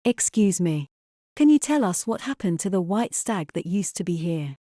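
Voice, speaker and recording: Mellow, earnest woman acting a doubting young wife. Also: on the low side, big pitch swings, moderate pace, no echo, studio quality. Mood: polite, thoughtful. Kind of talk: dramatized audio drama dialogue.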